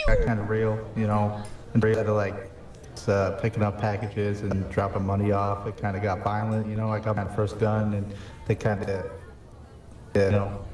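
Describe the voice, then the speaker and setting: A man's voice: fairly low pitch, darkish tone, reverberant, distant mic, slight background noise, lightly acted. A man improvising a young male mafia hitman with a New York accent, rambling and hesitant as he tells his backstory over voice chat. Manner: rambling, hesitant, full of "you know". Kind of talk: improvised character in a game voice chat.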